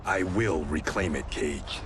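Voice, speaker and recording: A man's voice: extremely low pitch, takes it slowly, noisy background. An actor playing a proud, humorless male ninja warrior in a video game, terse and serious in his speech. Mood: stern. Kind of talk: dramatic video game cutscene acting.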